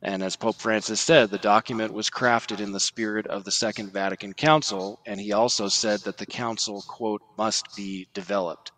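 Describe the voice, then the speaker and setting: A man giving formal, measured religious commentary on a podcast, quoting documents and stressing points as he lists them. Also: on the low side, steady tone, fairly bright tone, poor audio.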